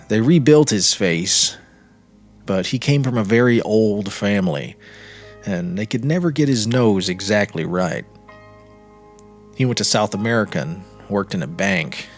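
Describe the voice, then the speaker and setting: A man narrating a literary short story in a measured, dramatic reading with deliberate pauses. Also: on the low side. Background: music.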